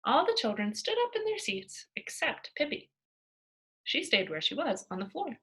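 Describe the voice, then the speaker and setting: A woman narrating a children's storybook aloud in an expressive way. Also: sits high, moderate pace, slightly rough. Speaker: storybook reader.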